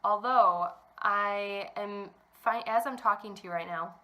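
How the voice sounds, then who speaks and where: A woman's voice: mid-range voice, unhurried, pushed and bright. A young woman hosting a knitting podcast from home, chatting in a rambling way with lots of ums while still a little congested from a cold. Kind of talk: casual chat to camera. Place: at home indoors.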